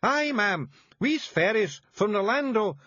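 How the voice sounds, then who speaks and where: Pint-sized Scottish fairy chief: high, gruff, hollering, thick brogue. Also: male voice, big pitch swings, measured pace, no room sound, dull audio, full character voice. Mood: flustered. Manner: gruff, urgent, shouting in broad dialect. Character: small fierce male Scottish fairy leader.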